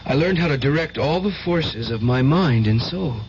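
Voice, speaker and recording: Fervent, troubled young male seer, highish expressive voice, vintage radio drama. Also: moderate pace. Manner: earnest, intense, dramatic. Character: fervent, tormented young male psychic nephew, devoutly religious. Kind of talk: old-time radio drama acting. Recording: dull, hissy old radio recording.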